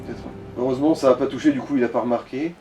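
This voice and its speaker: A man's voice: medium pitch, steady tone, rapid-fire. A man giving casual, colloquial and sometimes sweary co-commentary on someone else's video game play. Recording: distant mic, game music behind.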